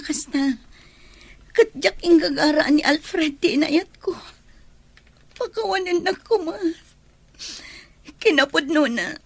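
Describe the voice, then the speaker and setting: A woman's voice: very high voice, exaggerated voice. A woman with a Filipino accent playing an earnest, apologetic young friend in a radio drama.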